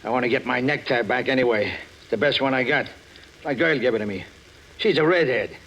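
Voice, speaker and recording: A man's voice: medium pitch, fairly quick, fairly bright tone, dull audio. A man acting a chatty, dim gangster sidekick in 1940s radio style, talking in folksy slang. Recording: old radio recording with faint noise.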